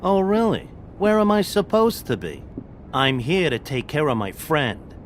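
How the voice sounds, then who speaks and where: Sardonic talking pig, lively high pitch. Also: male voice, measured pace, slight background noise. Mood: irritated, mouthy. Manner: sardonic, slangy, informal. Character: wisecracking, blunt talking pig, loyal male friend. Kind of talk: video game voice acting.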